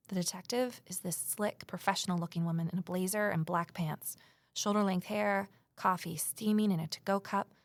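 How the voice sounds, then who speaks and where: An actress plays an anxious, earnest young woman investigating small-town trouble in an audio drama. Her voice has a frequent fry and sits very dry, and she confides with intensity. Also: medium pitch, no room sound, natural voice. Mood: anxious, hurt. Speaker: lead character and first-person narrator. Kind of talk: full-cast audio drama acting and narration.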